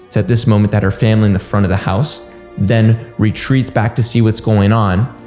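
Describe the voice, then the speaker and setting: A man in his twenties recounting a story informally to camera in an earnest, deliberate way, recorded indoors. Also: lowish voice, steady tone, mellow. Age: 20s. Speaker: host of a documentary channel. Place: small indoor room at home. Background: background music.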